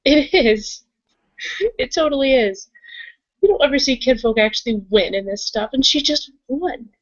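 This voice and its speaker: A woman playing an outspoken, hopeful, excitable member of a werewolf pack in a tabletop roleplaying game. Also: sits high, normal speed, dry recording, highs cut off. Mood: excited. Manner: emphatic, frank, casual.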